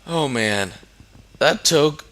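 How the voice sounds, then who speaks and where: A man's voice: fairly high pitch, expressive, extremely slow, fairly bright tone. A young man from Denmark with a Danish accent, commenting casually on a building game. English is not his first language, and he hesitates with many fillers and restarts. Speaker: gamer.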